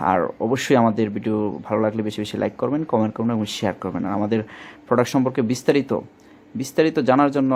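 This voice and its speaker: A man's voice: medium pitch, darkish tone. A man with a Bangladeshi accent giving an informal product demonstration as a shop presenter, repeating himself and searching for words. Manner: repetitive, searching for words with filler placeholders. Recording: faint background noise.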